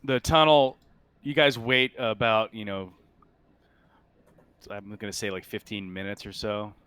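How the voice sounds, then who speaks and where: A man running a tabletop sci-fi roleplaying game as game master, improvising his narration in a halting, thinking-aloud way. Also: fairly low pitch, fairly even intonation, pushed and bright, clean tone.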